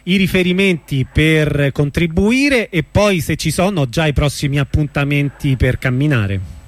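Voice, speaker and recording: A man's voice: a little high, lively intonation, measured pace, dry recording. A man hosting a conversational radio interview, putting questions to a guest.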